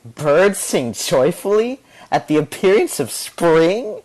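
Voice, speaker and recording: A man voicing mocking orchestra musicians in a storybook, with exaggerated, drawn-out ridicule. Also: a little high, sing-song, darkish tone, voice pushed up, full character voice, rough recording. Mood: mocking, laughing.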